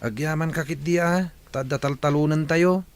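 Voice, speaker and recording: A man's voice: fairly high pitch, steady tone, moderate pace, no room sound, natural voice. A man with an Ilocano accent playing a weary, hard-working young farmer who chides his siblings in a radio comedy drama. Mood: chiding. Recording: noisy, birds chirping behind.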